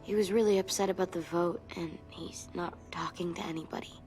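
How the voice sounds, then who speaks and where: Casting: woman as tough teen girl, lowish voice, blunt and sardonic, game drama with faint music. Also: steady tone, brisk, a little mellow, lightly acted. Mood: subdued, regretful. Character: blunt, tough, fair-minded teenage girl. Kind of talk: naturalistic video game acting.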